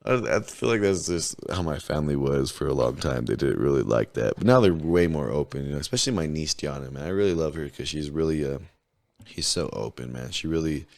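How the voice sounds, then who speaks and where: A hoarse man in his twenties from New Mexico, a podcast guest telling candid, profane stories in heavy slang. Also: very deep voice, expressive, fairly quick, darkish tone. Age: 20s. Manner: laid-back, profane, storytelling, heavy slang. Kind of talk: casual candid interview chat.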